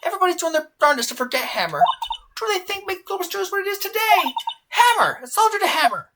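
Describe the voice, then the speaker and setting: A woman's voice: high-pitched, expressive, fast talker, very noisy, pitched above the performer's own voice. A woman voicing a cranky, indignant old woman who rants and scolds, in a video game fandub. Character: cranky, loud old woman, indignant former studio guard.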